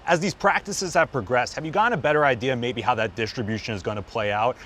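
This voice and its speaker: A man's voice: medium pitch, quick pace, fairly bright tone, slight background noise. A man hosting a sports show, delivering prepared reports and interview questions.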